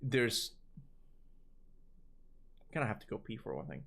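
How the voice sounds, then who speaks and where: A man with a Cuban accent chatting casually on a livestream, rambling and animated, answering chat and swearing now and then. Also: on the low side, a little slow, gravelly. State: tired voice from long talking. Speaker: gaming streamer answering chat.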